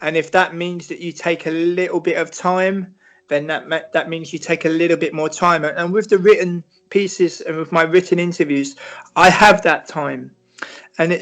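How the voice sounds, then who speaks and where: A British man answering interview questions in a measured, reflective way, often restarting and filling pauses. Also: on the high side, a bit bright. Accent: British English. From Britain. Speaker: football writer and interview guest.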